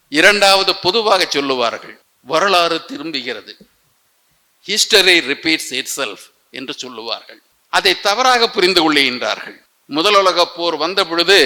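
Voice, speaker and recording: A man with a Tamil Nadu accent giving a formal public lecture, emphatic and didactic, with deliberate rhetorical pauses. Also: a little high, pushed and bright. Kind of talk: formal oratorical history lecture.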